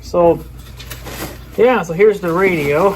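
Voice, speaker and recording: Rambling man with a higher-pitched voice, noisy background. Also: slow. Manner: rambling, trailing off mid-sentence. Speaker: video creator. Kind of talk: casual unboxing chat to viewers. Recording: clear background noise.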